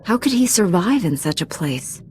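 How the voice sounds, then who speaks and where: A woman's voice: on the low side, very animated, normal speed, darkish tone, slight background noise. A woman playing a resolute, candid knight in a video game, with a firm, direct manner. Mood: questioning. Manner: firm, self-assured, direct. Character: resolute, candid young female Jedi knight. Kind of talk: scripted video game dialogue.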